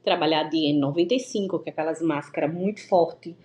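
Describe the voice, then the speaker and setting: A Brazilian woman from the Northeast telling her story as an interview guest over a video call, in a lively, stop-start way with many restarts. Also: low voice, brisk, a little hiss or hum. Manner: animated, many restarts and stammers. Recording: echoey room with faint ambience.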